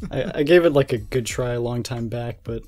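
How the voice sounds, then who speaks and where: A man's voice: medium pitch, darkish tone. A man chatting casually on a podcast in a mild, slightly hesitant manner. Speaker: podcast participant. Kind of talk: casual chat.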